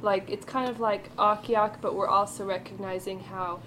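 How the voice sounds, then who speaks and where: A woman in her twenties from Alaska, a counselor leading interviews, casual and quick to laugh. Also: medium pitch, flat delivery, fairly bright tone. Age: 20s. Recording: noisy room recording.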